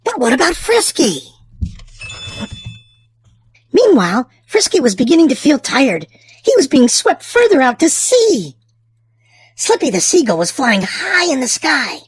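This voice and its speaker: A woman hosting and narrating a children's storybook aloud, with theatrical, drawn-out emphasis for small children. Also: high-pitched, huge pitch swings, no room sound. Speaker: children's storybook reader and channel host. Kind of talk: expressive read-aloud narration for young children.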